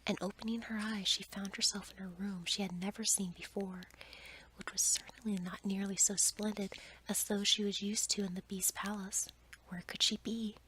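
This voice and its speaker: Female ASMR storyteller, hushed and breathy, very even intonation for bedtime fairy tales. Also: mid-range voice, poor audio. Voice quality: breathy, whispered.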